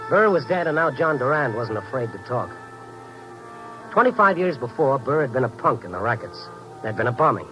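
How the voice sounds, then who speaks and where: 1950s radio recording, music behind, male actor as wry American reporter, fast-talking, hardboiled, dogged. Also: mid-range voice, natural voice, low-quality recording. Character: male night-beat newspaper reporter, wry, dogged, lean, loyal friend. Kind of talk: 1950s radio drama acting and hardboiled narration.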